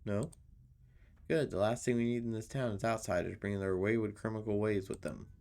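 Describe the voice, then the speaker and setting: A man's voice: on the low side, fairly even intonation, a little fast, creaky, darkish tone. Man voicing a suspicious, folksy local drinker. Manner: guarded, folksy, needling. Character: suspicious, grudging local pub drinker, gossipy townsman. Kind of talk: visual novel character reading.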